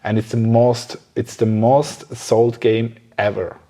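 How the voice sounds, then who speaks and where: A man's voice: medium pitch, flat delivery, slow pace, mellow. Male podcast interviewer, mid-range voice, casual conversational delivery, agreeable manner. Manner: agreeable, frequent affirming responses. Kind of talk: casual chat.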